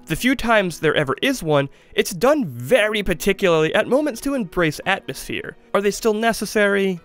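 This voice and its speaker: A man's voice: high voice, fairly bright tone. A man, giving emphatic, conversational scripted commentary as a video essayist in a studio. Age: 20s. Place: small studio with acoustic foam. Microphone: studio microphone. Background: music.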